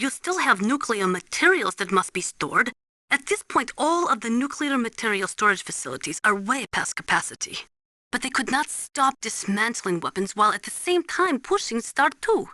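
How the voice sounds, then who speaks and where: Crisp, bright military weapons analyst. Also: female voice, a little high, very animated, normal speed, no echo. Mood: grave, informative. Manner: precise, instructive, formal, avoids contractions. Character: serious, knowledgeable female Russian military weapons analyst. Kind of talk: video game voice acting, technical briefing.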